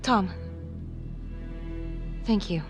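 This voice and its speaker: An actress playing a tough, desperate homicide detective in a crime thriller game, speaking in a tense, halting, urgent way. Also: female voice, lo-fi sound, music in the background. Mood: relieved.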